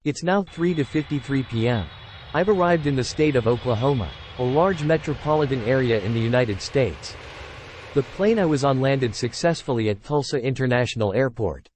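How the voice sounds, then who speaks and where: A man's voice: medium pitch, animated, moderate pace, darkish tone, a little hiss or hum. A man reading scripted first-person story narration. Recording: music bed under the voice. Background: music.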